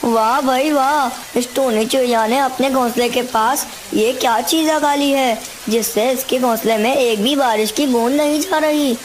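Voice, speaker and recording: A woman's voice: high voice, a little slow, hardly any pauses, slight background noise, rough recording. A woman voicing a needy, envious male crow in a cartoon story, exclamatory and pleading in manner. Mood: amazed. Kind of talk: Hindi cartoon story dialogue.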